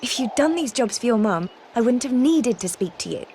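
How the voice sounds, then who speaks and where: A woman's voice: a little high, animated, fairly quick, darkish tone, a little hiss or hum, natural voice. An actress playing a bossy, sensible teenage witch with a British accent and a prim, scolding manner in a video game. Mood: scolding, concerned. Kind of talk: video game cutscene acting.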